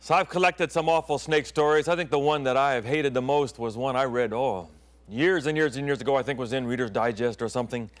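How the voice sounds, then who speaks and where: A middle-aged man who grew up in Thailand, a pastor hosting his own program, telling stories in a sermon and often dropping to hushed tones and whispers. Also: mid-range voice, a little fast. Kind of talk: storytelling sermon.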